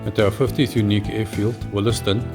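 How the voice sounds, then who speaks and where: Low-voiced man narrating over music. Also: flat delivery, fairly quick, vocal fry. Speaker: pilot and travel vlog narrator.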